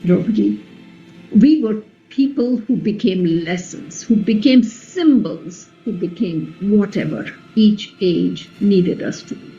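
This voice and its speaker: Mellow, slow, wise epic queen played by a woman. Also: medium pitch, lively intonation. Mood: quietly sad. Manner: measured, reflective. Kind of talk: dramatic play reading, radio play. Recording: muffled recording with music behind.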